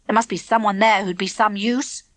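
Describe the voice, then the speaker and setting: A woman's voice: mid-range voice, steady tone, fairly quick, no room sound, natural voice. A woman doing a stern, worried schoolboy. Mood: urging. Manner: frowning, quietly stern. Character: serious, worried schoolboy scorekeeper.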